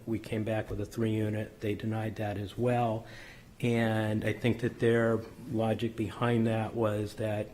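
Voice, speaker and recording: A man presenting a subdivision plan at a public board meeting, methodical and technical with frequent ums and self-corrections, his voice slightly hoarse. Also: on the low side, darkish tone, a little hiss or hum. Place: city council chamber.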